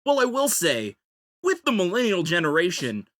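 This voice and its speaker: A podcast panelist giving an improvised comic pitch, playfully salesmanlike and fond of rhetorical questions. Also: wildly swooping pitch, normal speed, fairly bright tone.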